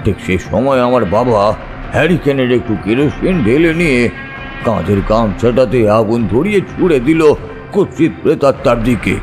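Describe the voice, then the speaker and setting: A man narrating a scripted ghost story in a suspenseful, expressive, dramatic manner. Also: mid-range voice, normal speed, over music.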